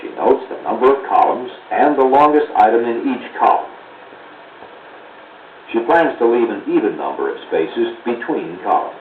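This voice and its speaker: A man's voice: mid-range voice, very animated, recorded from a distance. American male narrator for a 1970s classroom film, didactic and precise, heard through a narrow, roomy old soundtrack. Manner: measured, didactic, clearly enunciated. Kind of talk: scripted instructional narration. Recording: muffled, noisy 1970s 16mm film soundtrack.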